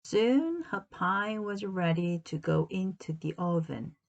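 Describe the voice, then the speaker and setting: A woman reading a children's story aloud as narrator, in a deliberate, expressive storytelling manner. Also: on the low side, vocal fry, dull audio. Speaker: storybook reader.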